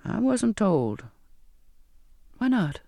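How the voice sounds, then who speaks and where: Kindly, earnest sailor played by a male narrator, unhurried, coaxing, close dry sound. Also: high voice, big pitch swings, vocal fry, dark tone, no echo, pitched above the performer's own voice, full character voice. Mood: surprised, puzzled. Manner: measured, rhetorical questioning. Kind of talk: audiobook character dialogue.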